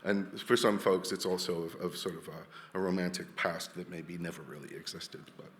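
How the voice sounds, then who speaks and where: A man's voice: deep voice, steady tone, normal speed, fairly bright tone, long reverb tail. A man from Wales, giving a chatty, jokey conference talk full of restarts. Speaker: conference speaker. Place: large hall.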